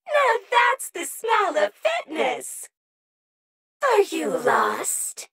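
A perky animatronic hen from a video game, extremely high and swooping in pitch. She draws her words out very slowly in an exaggerated sing-song. Her sweetness carries a menacing edge. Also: huge pitch swings, fairly bright tone, full character voice. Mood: peppy, promotional, then sweetly coaxing. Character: perky female animatronic chicken mascot, sweet but menacing.

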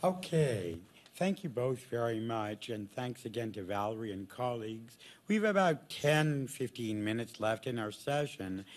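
A man's voice: medium pitch, lively intonation, normal speed. A man moderating a conference session, politely thanking speakers and opening the floor. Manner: polite and orderly. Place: large conference room. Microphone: desk microphone.

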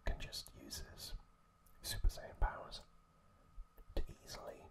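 A man speaks entirely in a whisper, at a very unhurried pace. He is an ASMR host recapping a story, breaking it into short phrases with hesitant pauses.

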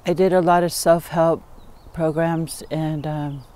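Woman, sixties, mellow, unhurried, halting, personal recollection, documentary interview, backyard. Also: deep voice, fairly even intonation. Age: 60s. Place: outdoors in a backyard.

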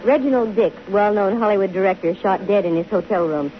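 An actress playing a dry, wisecracking, self-possessed young private detective in a 1950s radio drama. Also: female voice, medium pitch, a little fast, loud music behind the voice, lightly acted. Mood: cool narrating. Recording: hissy, muffled 1950 radio broadcast.

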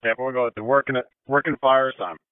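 A man's voice: mid-range voice, fairly even intonation, fast talker, smooth, no room sound. A man working as a fire dispatcher, speaking in terse procedural messages over two-way radio. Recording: dry, phone-like narrow radio channel.

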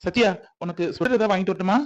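A man's voice: high-pitched, racing, close to an everyday voice. A young adult man playing a protective young man who soothes his partner and keeps checking that she agrees. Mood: reassuring, firm. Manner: soothing, insistent, repeats "okay?". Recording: dull lo-fi audio.

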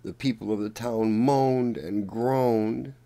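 A man reading a children's story aloud in a deliberate, expressive storytelling manner. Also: medium pitch. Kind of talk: read-aloud storybook narration.

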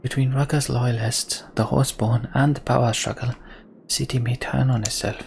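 Man voicing a gravelly, weary caravan leader. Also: medium pitch, level tone, normal speed, a little mellow, a little hiss or hum, natural voice. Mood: brooding.

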